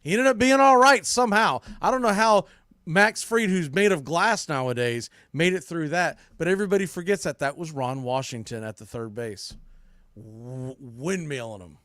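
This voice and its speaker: A man hosts a sports podcast in a fairly high voice, recorded close and dry. He talks informally and puts real emphasis behind his opinions, in the style of casual sports commentary. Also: animated, moderate pace, fairly bright tone, no echo.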